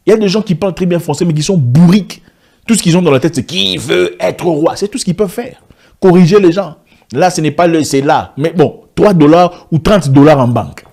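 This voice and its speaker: Man ranting into his camera, french isnt his first language, husky, lots of questions and restarts. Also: mid-range voice, dark tone. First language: not French; an African language. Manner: emphatic, rhetorical questions, frequent restarts. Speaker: online video commentator. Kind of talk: impassioned monologue to camera.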